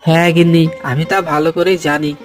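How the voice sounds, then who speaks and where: A man's voice: a little high, little pitch movement, quick pace, soft background music, natural voice. A man voicing a humble, hopeful village food seller in a cartoon, speaking earnestly. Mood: earnest, determined. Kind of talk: Bengali cartoon voice acting.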